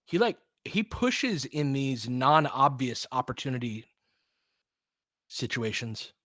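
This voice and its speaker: A man's voice: mid-range voice, sing-song, takes it slowly, a bit bright, no room sound. A man hosts a live audio basketball call, mixing play-by-play with loose analysis. He is informal and openly partisan, rooting for his team. The sound is close and dry. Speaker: play-by-play commentator and host.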